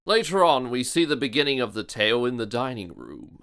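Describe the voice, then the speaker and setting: A man's voice: medium pitch, sing-song, fairly quick, fairly bright tone. A man hosting a scripted video review in a theatrical, mocking manner. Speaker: host of a video review series.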